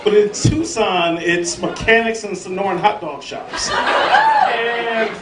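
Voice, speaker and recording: A man's voice: high-pitched, wildly swooping pitch, takes it slowly, heavy background noise. A man doing a brash, profane stand-up set on a club stage, teasing the crowd. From southern United States. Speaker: stand-up comedian. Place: comedy club stage before a live crowd. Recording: roomy live club audio from a distance with crowd.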